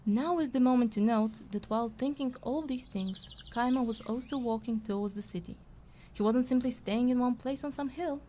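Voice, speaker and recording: Mellow, wry woman acting as storyteller-scribe. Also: a little high, moderate pace, a little hiss or hum, natural voice. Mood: wry narrating. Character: wry, bickering female narrator-scribe trailing the hero. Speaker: narrator. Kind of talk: scripted fantasy storytelling.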